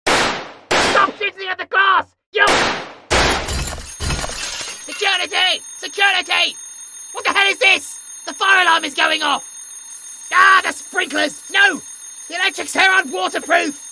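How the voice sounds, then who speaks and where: A man with a British accent playing a blunt, foul-mouthed, irate character in a zombie horror audio drama, with a rough voice. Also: very high-pitched, steady tone, unhurried, long pauses, noisy background, exaggerated voice. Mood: panicked fury. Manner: blunt, sweary. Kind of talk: horror audio roleplay acting.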